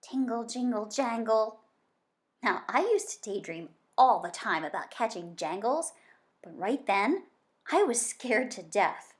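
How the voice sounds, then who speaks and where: A woman with a slightly high voice reads a children's storybook aloud. Her delivery is expressive and dramatic, shaped to carry a tall tale. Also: measured pace. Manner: dramatic, varying from hushed suspense to emphatic excitement. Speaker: children's book reader.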